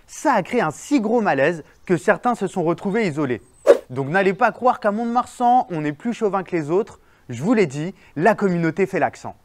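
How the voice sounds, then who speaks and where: A man's voice: sits high, moderate pace, very noisy. A man presenting a popular neuroscience segment, narrating playfully and asking passers-by questions. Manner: lively, explanatory, playful. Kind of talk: narration and street interviews.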